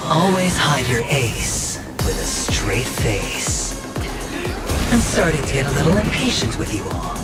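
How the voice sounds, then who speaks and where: Flamboyant gambler villain, wildly swooping voice. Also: male voice, medium pitch, wildly swooping pitch. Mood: smug, taunting. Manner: theatrical, drawn-out, swinging into shouts. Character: flamboyant young gambler villain, taunting and theatrical. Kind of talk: video game boss performance. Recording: roomy echo under loud game music.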